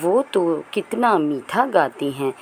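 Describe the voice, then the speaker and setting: A woman's voice: fairly low pitch, expressive, slow pace, a little mellow, a little hiss or hum. A woman voicing a small, earnest baby bird in a children's story, speaking simply. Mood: wary. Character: small trusting baby bird questioning its mother.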